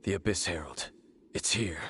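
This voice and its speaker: Low-voiced, brooding warrior. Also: male voice, fairly bright tone, a little hiss or hum. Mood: grave. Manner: solemn, formal, measured. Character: grave, brooding male warrior, enemy of the Abyss. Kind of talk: fantasy game voice acting.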